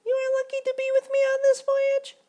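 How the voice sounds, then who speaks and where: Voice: monotone, fairly quick, warm tone. A bossy tiny mosquito ferryman. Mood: pompous. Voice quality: squeaky. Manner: stubborn, pompous.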